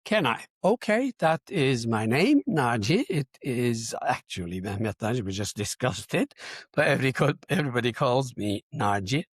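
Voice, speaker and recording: An older man talks as an interview guest, explaining and digressing as he goes. His voice is a little rough and husky, and the sound is close and dry. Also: mid-range voice, big pitch swings, normal speed, dry recording. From Turkey. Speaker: guest, computer science educator. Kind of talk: interview self-introduction, conversational.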